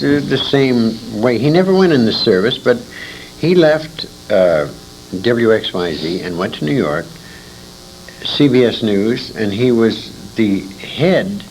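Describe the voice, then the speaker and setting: An American man in his sixties reminiscing as an interview guest, telling anecdotes in an animated way with emphatic repetition. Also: fairly low pitch, creaky. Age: 60s. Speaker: interview guest, old-time radio veteran. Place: radio convention, live interview. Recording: noisy amateur convention interview tape.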